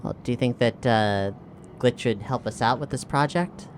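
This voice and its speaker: A man's voice: medium pitch, moderate pace. Man chatting tentatively over faint noise. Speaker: tabletop game player. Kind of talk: casual in-game chat.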